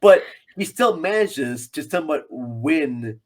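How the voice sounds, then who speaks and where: A man's voice: a little high, expressive, takes it slowly, a little mellow. A man appearing as a podcast guest, casually discussing a film in a rambling, jokey, self-deprecating way.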